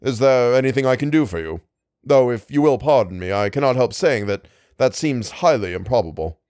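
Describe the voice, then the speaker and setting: A stiff, irritable gentleman, as a male narrator plays him, speaking in a slightly lowered, dryly formal voice. The recording is close and dry. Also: lively intonation, fairly quick, no echo, close to an everyday voice.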